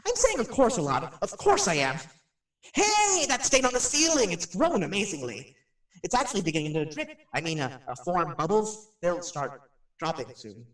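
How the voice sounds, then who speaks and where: Nervous radio personality, higher-pitched, jittery stammer, rambling chatter, radio drama, roomy echo. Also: male voice, very animated, moderate pace, a bit bright. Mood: lonely, melancholy. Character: nervous chatty male radio personality, rattled in haunted house. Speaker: radio host. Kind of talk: radio drama acting, rambling live monologue. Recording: roomy, distant microphone.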